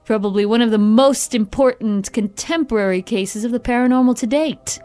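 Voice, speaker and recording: A woman's voice: on the high side, moderate pace, darkish tone, faint music behind, close to an everyday voice. A woman playing a confident, probing paranormal investigator in an audio drama, with a wry, self-assured manner.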